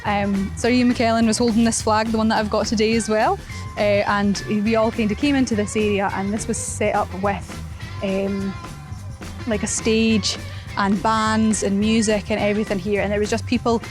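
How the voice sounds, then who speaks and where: Young woman, Scottish accent, chatty, lots of ums, outdoors in a plaza, mid-pitched voice. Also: level tone, normal speed, music in the background. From Perth, Scotland. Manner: chatty, many ums and restarts. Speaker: local Pride organiser, interview guest. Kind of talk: casual spoken interview and walking tour. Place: outdoors in a sunny town plaza.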